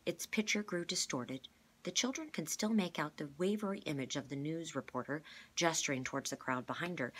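A middle-aged woman with a husky voice reads a storybook aloud, as a teacher would to a class. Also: low-pitched, fairly bright tone, a little hiss or hum, poor audio. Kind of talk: read-aloud storybook narration. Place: indoor room, classroom.

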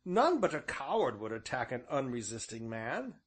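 A man playing a calm, stubborn, well-spoken young gentleman with a formal, firm manner. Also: mid-range voice, normal speed, slightly rough.

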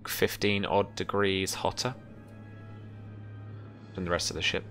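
A young man improvising tabletop game narration as game master, halting and thinking aloud. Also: sits low, little pitch movement, a bit bright, soft background music. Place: small room at home, indoors.

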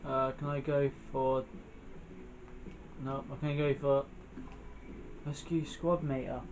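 A man's voice: medium pitch, little pitch movement, takes it slowly, a little mellow, lo-fi sound, slight background noise. A young man giving casual, off-the-cuff commentary as he plays a video game, often muttering to himself. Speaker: gamer, channel host. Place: indoors, in front of a TV screen. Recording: game music behind the voice. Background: video game music.

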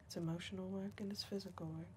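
A young woman playing a weary, defiant backwoods caretaker in a whispered ASMR roleplay, speaking softly and dryly, close to the mic. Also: on the low side, fairly even intonation, fast talker, slight background noise, close to an everyday voice. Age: young adult. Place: dim indoor room. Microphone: close ASMR microphone.

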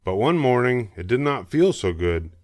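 An older man narrating a children's storybook, reading aloud in a measured, expressive way. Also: medium pitch, no echo, clean studio sound.

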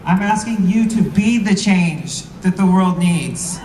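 A man with a high voice gives an earnest, deliberate speech at an outdoor rally. He is heard from far back over an echoing PA, with clear noise around him. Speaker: rally speaker. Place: outdoor rally, recorded from within the crowd. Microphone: PA heard from far camera. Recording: distant, echoey outdoor PA with noise.

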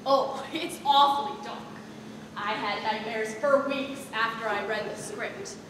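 A woman's voice: a little high, unhurried, natural voice. A teenage woman acting a bossy, doom-laden stage manager in a comedy, overdramatic and sarcastic, on a theatre stage. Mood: grim, doom-laden. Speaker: student stage actor. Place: large school theatre stage, audience present. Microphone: far camera in audience. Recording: distant, noisy, echoey auditorium stage recording.

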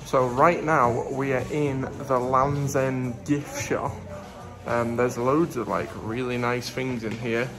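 A man's voice: mid-range voice, fairly even intonation, slow, over loud music. A young man chatting casually to a handheld phone camera outdoors, giving a running commentary on a camping road trip with lots of fillers. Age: young adult. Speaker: vlogger. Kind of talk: casual travel vlog. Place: outdoors on a windy coast and campsite. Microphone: phone held at arm's length. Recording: loud wind buffeting the mic. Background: loud wind noise.

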